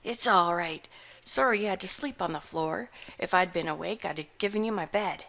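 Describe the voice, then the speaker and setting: A grizzled old farmer man, put on by a woman reading a storybook, speaking louder than the rest of the reading. He drawls slowly and mournfully in plain country grammar, placid and unhurried, like a gaunt man who has just woken up. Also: fairly low pitch, creaky. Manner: slow rustic drawl, ungrammatical country speech.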